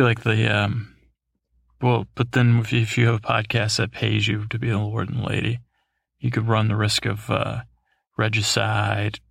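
A man with a husky, gravelly voice hosts a sleep podcast, reading out a list and wandering off into side remarks. He stumbles over names and keeps a low, even tone that barely rises or falls. The recording is dry and close, with no room around him. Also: no room sound. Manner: meandering, self-correcting, wry asides. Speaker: podcast host. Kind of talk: sleep podcast, rambling read-aloud.

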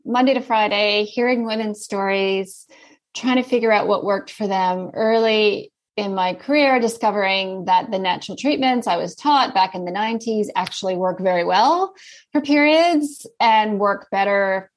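Middle-aged canadian woman, guest on a podcast, calm explaining tone. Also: mid-range voice, moderate pace. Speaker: guest expert. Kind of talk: podcast interview.

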